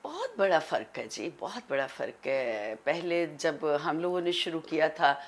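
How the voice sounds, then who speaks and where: A middle-aged woman with a Pakistani accent, chatting as a talk show guest in an emphatic way, often repeating her phrases. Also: fairly low pitch. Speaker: guest, veteran dubbing artist. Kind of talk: casual talk show conversation.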